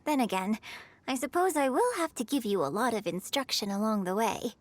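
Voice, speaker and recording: A woman playing a graceful young opera performer in a video game, speaking in a poised, articulate, gently instructive way. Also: a little high, lively intonation, fairly quick, a little hiss or hum, close to an everyday voice. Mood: playful. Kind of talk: scripted video game character dialogue. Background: music.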